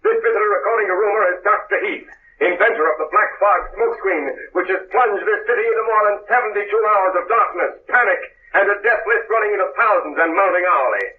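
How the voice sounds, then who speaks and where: A man voicing an urgent news announcer in an old radio play. His high voice races through the bulletin, heard from a distance in an echoey room. It sounds tinny and hissy, like an old broadcast. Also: close to an everyday voice. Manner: rapid bulletin delivery. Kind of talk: 1930s radio drama acting.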